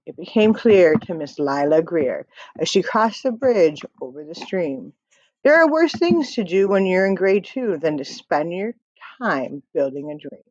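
A woman's voice: on the low side, very animated, unhurried, no room sound. A middle-aged woman reading a children's picture book aloud in a rhythmic, emphatic storytelling manner. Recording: dull lo-fi webcam audio.

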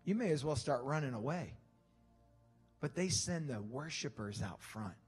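A man in his thirties preaching a conversational sermon on a church stage into a handheld microphone, animated and playful. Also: mid-range voice, moderate pace, slightly rough, faint music behind. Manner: animated, conversational, with laughs and acted-out asides. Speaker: guest preacher. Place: church stage with wooden wall, indoors.

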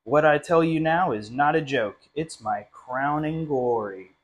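A young man reading a children's picture book aloud in an animated, friendly way, as at storytime in a small classroom. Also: on the high side, measured pace, slight background noise. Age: young adult. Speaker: literacy council director reading a story.

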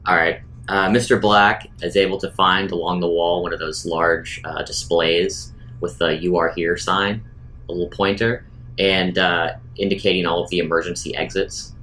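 A man's voice: deep voice, level tone, normal speed, sharp tone, slight background noise. A man playing a tabletop roleplaying game, talking casually and improvising details.

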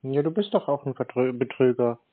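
A man's voice: medium pitch, animated, a little fast, no echo. Laconic man on a thin phone line. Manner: laconic, dry, skeptical. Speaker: scam-baiter on the call. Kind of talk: casual confrontational phone chat. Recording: thin, narrow-band phone sound.

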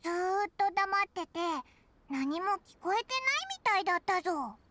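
A woman voicing a small, childlike fairy companion in an anime-style game, cheeky and curious, with a squeaky voice and blunt, exclamatory delivery. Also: normal speed, fairly bright tone, a little hiss or hum. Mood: curious, impressed.

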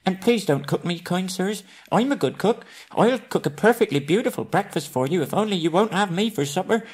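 A man's voice: high-pitched, lively intonation, brisk, breathy edge, voice pushed up. A man voicing a small, frightened, polite hobbit who gasps and pleads, in a storybook reading. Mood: frightened, pleading. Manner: gasping, pleading.

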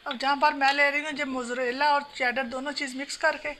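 A woman calmly explaining a home recipe step by step while she cooks. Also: sits high, fairly quick. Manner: calm, instructional, talks while working. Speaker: home cooking channel host. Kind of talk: step-by-step cooking instructions. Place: home kitchen. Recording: noisy home phone recording.

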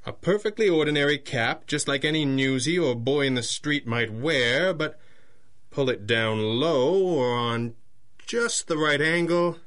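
An actor playing a calm, shrewd crime-fighter boss in a 1930s-style radio drama, measured and thoughtful. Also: male voice, on the high side.

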